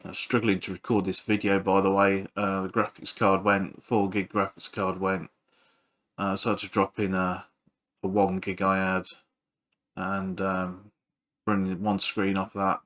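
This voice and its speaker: A man giving casual hands-on repair commentary as a video host, halting and thinking aloud while he works. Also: sits low, monotone, a bit bright.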